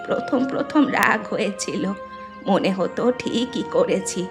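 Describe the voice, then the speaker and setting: A woman voicing an elderly village mother-in-law in a cartoon, weary and humbled, with a slow, plaintive, confessional way of talking. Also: medium pitch, lively intonation, over music. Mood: remorseful. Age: older. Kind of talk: Bengali moral cartoon dialogue.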